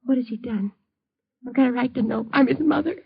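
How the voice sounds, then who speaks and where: An actress playing a frightened, lonely bedridden mother in 1950s radio drama, breathy and fragile, pleading bitterly with agitated repetition. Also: fairly high pitch, a little fast, exaggerated voice. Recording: dull lo-fi old radio recording.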